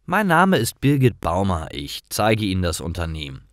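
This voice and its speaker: A man's voice: sits low, huge pitch swings, moderate pace, no room sound, studio quality. A man voicing a polite, welcoming woman guiding someone around an office in a language-learning story, speaking formally. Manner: courteous, formal.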